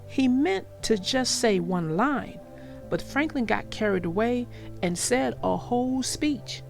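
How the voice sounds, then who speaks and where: A woman narrating for young children in an expressive, reassuring way, as if reading aloud and talking directly to them. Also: on the high side, slow, vocal fry, faint music behind. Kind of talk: read-aloud storytelling and advice to young listeners.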